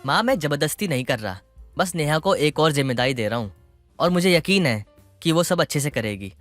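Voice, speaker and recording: Man acting calm, reasonable young husband. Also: on the high side, fairly quick, faint music behind. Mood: reassuring, firm. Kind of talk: dramatized Hindi moral story acting.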